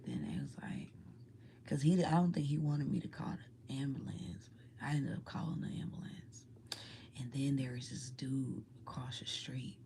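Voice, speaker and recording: A woman telling a story to her viewers in a soft, low whisper, rambling and using casual slang. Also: moderate pace. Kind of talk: whispered ASMR-style storytelling.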